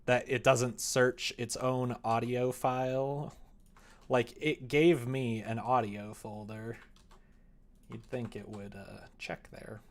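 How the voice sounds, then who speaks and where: A man's voice: medium pitch, fairly even intonation, slow pace. A young man livestreaming casually at his computer, thinking aloud in a meandering, muttering way. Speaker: livestreamer developing a visual novel. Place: indoor room at a computer.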